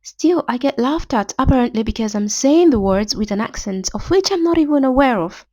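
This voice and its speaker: A woman's voice: on the high side, lively intonation, fairly quick, a little mellow, dry recording, highs cut off. A young Nigerian woman with a Nigerian accent, hosting a podcast and reading a prepared literary essay in formal, academic wording. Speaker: podcast host.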